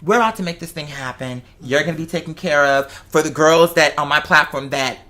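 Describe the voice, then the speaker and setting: A man's voice: a little high, fairly quick, pushed and bright. A man in his twenties with an American accent, chatting casually and emphatically with strong opinions. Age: 20s. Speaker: host and performer. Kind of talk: casual animated chat.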